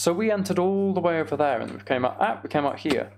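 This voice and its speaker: A man's voice: fairly high pitch, expressive, quick pace, vocal fry, a little hiss or hum. A man chatting casually as he livestreams a game, with self-deprecating, muttered asides to himself. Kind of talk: casual gaming livestream chat with commentary.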